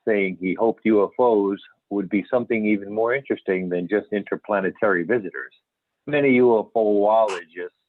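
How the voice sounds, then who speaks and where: A man acts out a veteran male astronomer turned UFO investigator in a readers theater piece. His voice is low, and he reminisces in a folksy, candid, storytelling way. The sound is narrow and phone-like, as over a conference call, with little room around it. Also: no echo, close to an everyday voice. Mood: matter-of-fact. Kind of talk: amateur readers theater, scripted interview reminiscence. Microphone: phone or videoconference call. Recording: band-limited phone-like conference call audio.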